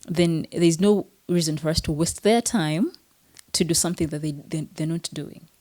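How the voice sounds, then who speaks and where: Ugandan female host, measured and reflective, dark mellow tone. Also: low-pitched. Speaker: interview host. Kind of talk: conversational interview.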